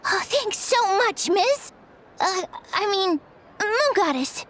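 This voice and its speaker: A woman voicing an excitable, greedy young merchant in a video game, theatrical and exclamatory, with wheedling sales talk. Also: extremely high pitch, slow pace, slight background noise, full character voice. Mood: flustered salesmanship. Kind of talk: animated video game acting. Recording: loud music behind the voice.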